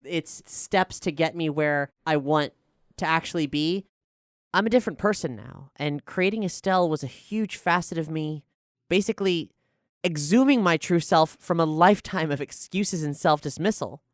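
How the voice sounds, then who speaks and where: Clear-voiced woman, candid and reflective. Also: deep voice, normal speed, no room sound, studio quality. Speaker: show creator and writer answering questions. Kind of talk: casual reflective Q&A chat.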